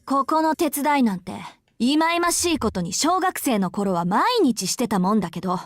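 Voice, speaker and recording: A woman's voice: fairly high pitch, big pitch swings, fast, dry recording, clean studio sound. An actress playing a curt, irritable teenage schoolgirl with a sardonic, blunt way of talking in a visual novel. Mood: irritated, sardonic. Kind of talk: Japanese visual novel voice acting. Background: game music.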